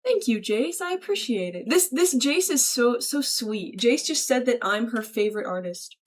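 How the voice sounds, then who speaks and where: A woman's voice: on the high side, expressive, normal speed. A woman livestreamer casually reading and answering viewer messages aloud in a slangy, chummy, thankful way. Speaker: livestreamer greeting chat.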